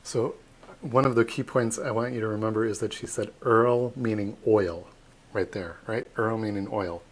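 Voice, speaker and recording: A man with a fairly low voice talks through a live online tour. He explains in a chatty, animated way, and his voice lifts and drops as he gets excited. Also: moderate pace, poor audio. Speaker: tour guide.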